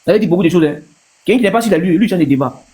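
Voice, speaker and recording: A man's voice: sits high, lively intonation, rapid-fire, a little mellow. A man from Ivory Coast with an Ivorian accent, giving his opinion casually as a panel guest on a live stream, repeating himself for emphasis.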